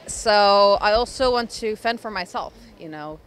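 A woman's voice: mid-range voice, unhurried, fairly bright tone, clear, resonant tone. A woman of thirty, talking candidly in a street interview into a handheld mic, laughing now and then. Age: 30s. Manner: candid, laughing, restarts often. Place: city street outdoors.

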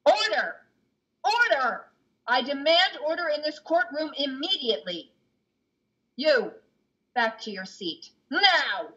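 A woman's voice: sits high, very animated, takes it slowly, long pauses, bright, forceful tone, pitched above the performer's own voice, full character voice. A woman playing a stern, commanding judge in a stage play reading, giving imperious, emphatic orders. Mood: commanding, angry. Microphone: video call. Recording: dull video-call audio.

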